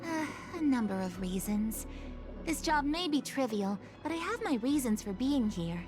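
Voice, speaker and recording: Polite earnest young officer girl in a game, high thoughtful voice, music under it. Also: very animated, moderate pace. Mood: reflective. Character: earnest, courteous young-sounding female junior official, long-lived. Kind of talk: video game voice acting.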